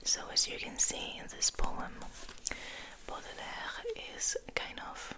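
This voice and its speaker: A woman whispering softly in an ASMR style, reading and rambling in a hushed, unhurried, gentle way. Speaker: ASMR host.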